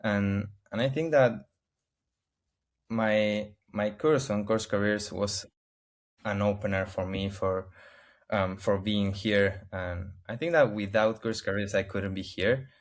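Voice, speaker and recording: A man from Argentina with an Argentine Spanish accent, a native Spanish speaker, answering interview questions in halting English full of restarts and searches for words over a video call. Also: on the low side, fairly even intonation. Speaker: interview guest.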